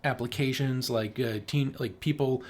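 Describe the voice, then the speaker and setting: A man's voice: mid-range voice, steady tone, moderate pace. A man co-hosting a podcast, talking casually about his shows. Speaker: podcast co-host.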